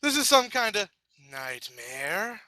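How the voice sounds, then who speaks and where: A man puts on a high, squealing pony girl, a rough-edged tomboy DJ in a storybook reading who stammers and whines in a panic. the voice is very bright and sharp, recorded close and dry. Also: very animated, slow pace, no room sound, exaggerated voice. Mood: alarmed. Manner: slangy, stammering in alarm. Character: brash tomboyish young mare DJ, panicky.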